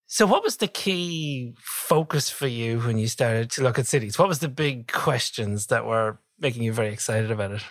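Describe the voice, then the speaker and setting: A man hosting a podcast, asking clear and measured questions. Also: medium pitch, expressive, no room sound. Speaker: podcast host. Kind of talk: podcast interviewing.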